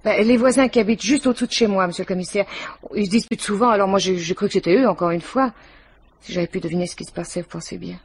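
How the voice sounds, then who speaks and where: An actress playing a chatty, flustered widowed waitress in a French radio mystery, rambling, hesitant and deferential. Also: female voice, mid-range voice, very fast, husky. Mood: plaintive, fretful.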